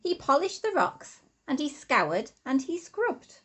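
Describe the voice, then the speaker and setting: A woman, an infant school teacher, reading a rhyming storybook expressively to children with deliberate, sing-song emphasis. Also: high-pitched, very animated, a bit bright.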